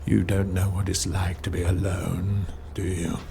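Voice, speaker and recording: An actor playing an older general in a video game, theatrical and insinuating, halting in his delivery, possessive and sly. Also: sits low, little pitch movement, vocal fry, warm tone, slight background noise. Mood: bitter, menacing. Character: menacing, possessive old general, sly and wistful. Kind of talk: dramatic video game acting.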